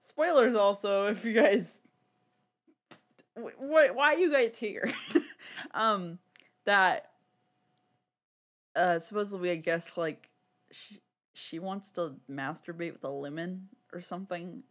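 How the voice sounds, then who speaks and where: Podcast co-host just chatting, kinda rambly and giggly, mid-pitched voice, very candid. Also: slow, pauses often, fairly bright tone. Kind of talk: casual podcast chat.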